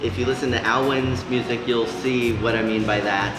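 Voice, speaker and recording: A man's voice: medium pitch, steady tone, moderate pace. An American man hosting a casual interview, friendly and jokingly self-mocking. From United States. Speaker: host. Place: deli room. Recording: echoey room with music. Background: music.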